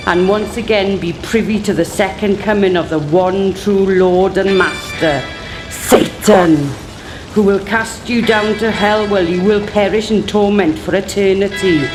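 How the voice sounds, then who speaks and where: An actress playing a menacing, fanatical old servant hag in a radio play, theatrical and ominous. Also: female voice, mid-range voice, steady tone, unhurried, over music, natural voice, poor audio. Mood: ominous curse.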